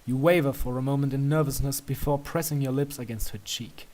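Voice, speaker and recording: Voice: mid-range voice, fairly even intonation, fairly quick, darkish tone. A narrator reading a scripted story aloud.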